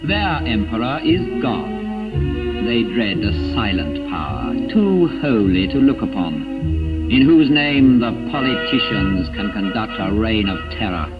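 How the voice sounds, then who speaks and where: Man, 1940s propaganda narration, grave and emphatic, dramatic pauses, muffled old film sound, prominent music behind. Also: fairly low pitch, big pitch swings. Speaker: documentary narrator.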